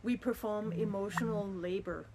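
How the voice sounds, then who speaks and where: A woman's voice: medium pitch, moderate pace, background noise. A middle-aged woman from Denmark, speaking in a candid, earnest and reflective personal monologue. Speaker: vlogger.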